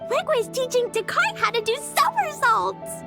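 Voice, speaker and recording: A woman voicing an excitable, innocent little girl in an anime-style video game, with an eager, childlike and exclamatory manner. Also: extremely high pitch, unhurried, vocal fry, music in the background, exaggerated voice. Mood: excited, eager.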